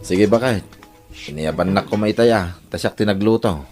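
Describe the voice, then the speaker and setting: A man's voice: fairly low pitch, normal speed, a little mellow, soft background music, natural voice. A man playing a controlling, jealous working-class husband in an Ilocano audio drama, with a Filipino Ilocano accent. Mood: tender, insistent.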